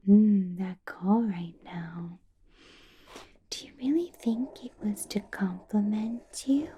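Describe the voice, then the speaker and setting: A woman playing an ancient, possessive vampire boss in an ASMR roleplay, whispering softly with slow, deliberate, teasing menace. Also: mid-range voice, full character voice. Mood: threatening. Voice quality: soft breathy whisper. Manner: slow, deliberate, teasing questions with lingering pauses. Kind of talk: ASMR roleplay, personal attention.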